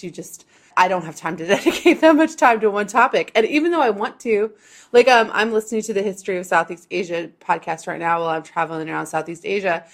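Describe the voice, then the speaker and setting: A woman hosting a travel history podcast, talking casually and quickly with frequent laughs. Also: lowish voice. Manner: chatty, quick, laughs between phrases. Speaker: podcast host.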